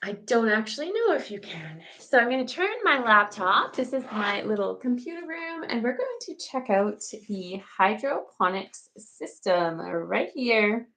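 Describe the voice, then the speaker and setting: Hoarse, gravelly woman live-streaming. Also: on the high side, sing-song, unhurried, hardly any pauses, a bit bright. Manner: chatty, greets viewers by name. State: hoarse from recent illness. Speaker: gardening channel host. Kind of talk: live-stream garden tour. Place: home computer room.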